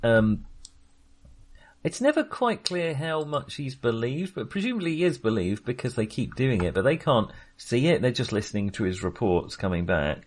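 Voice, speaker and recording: A man's voice: medium pitch, big pitch swings, moderate pace. A man co-hosting a casual film discussion, rambling and often interrupting himself as he searches for words. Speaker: film podcast co-host.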